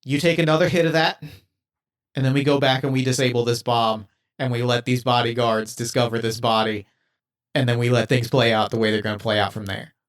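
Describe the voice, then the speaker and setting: A man's voice: mid-range voice, flat delivery, brisk, natural voice. A man voicing a blunt, earnest robot companion, pleading in a plain voice.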